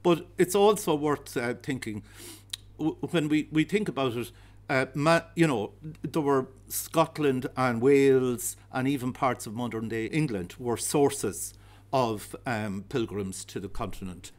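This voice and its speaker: A man's voice: mid-range voice, unhurried. Irish man giving a history lecture. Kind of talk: public lecture with slides. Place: darkened heritage centre auditorium.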